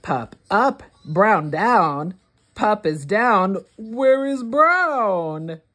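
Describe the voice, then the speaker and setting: A woman reading a rhyming picture book aloud for young children, word by word, with deliberate expression. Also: medium pitch. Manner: deliberate, word-by-word, expressive delivery for early readers. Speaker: children's read-along host.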